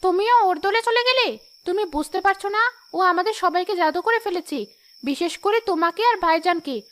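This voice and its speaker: Woman voicing a very high, spiteful jealous sister-in-law. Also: lively intonation, moderate pace, fairly bright tone. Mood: indignant. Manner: taunting, accusatory. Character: spiteful, jealous, scheming younger sister-in-law in a fairy tale. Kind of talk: animated fairy-tale voice acting.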